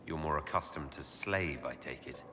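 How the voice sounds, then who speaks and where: An actor playing an earnest, questioning elf leader in a video game, speaking in a measured, direct way. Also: male voice, a bass voice. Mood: challenging. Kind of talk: video game dialogue acting. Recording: reverberant hall, faint background noise.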